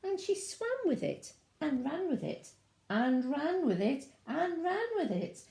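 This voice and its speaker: Female children's storyteller, unhurried and sing-song, highly varied pitch, chatty asides, roomy sound. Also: very animated, gravelly, warm tone. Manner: sing-song, addresses young listeners.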